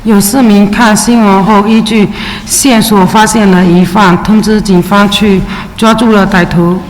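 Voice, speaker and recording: A woman's voice: mid-range voice, steady tone, unhurried. Woman giving testimony in Mandarin, echoey hall. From China. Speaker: witness testifying at a city council hearing. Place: large public hearing chamber. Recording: echoey hearing room with faint ambience.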